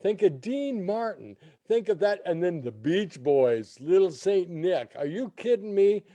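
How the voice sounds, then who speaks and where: A man's voice: high voice, big pitch swings, unhurried, warm tone, no echo, dull audio. A man giving opinionated banter as a radio guest critic, blunt, emphatic and curmudgeonly.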